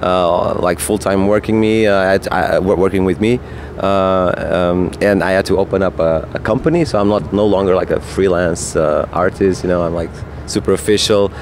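Portuguese man, non-native English speaker, low-pitched, halting with fillers, outdoor rooftop interview. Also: a little hiss or hum. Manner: hesitant, lots of fillers and restarts. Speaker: guest artist. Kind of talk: casual interview chat. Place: hotel rooftop terrace outdoors. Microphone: handheld mic.

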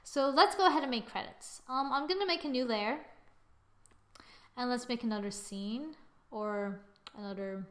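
Young adult female tutorial presenter, higher-pitched, informal, thinks out loud while working. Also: normal speed, pauses often. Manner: informal, thinking aloud, muttering asides and sing-song filler. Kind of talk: casual screen-recorded software tutorial. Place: indoors, voice-over to a screen recording.

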